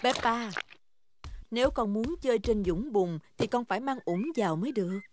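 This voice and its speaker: A woman voicing a patient, cheerful mother pig in a children's cartoon dub, with a gentle, instructive manner. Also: on the high side, normal speed, lightly acted. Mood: gently firm. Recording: loud music under the voice.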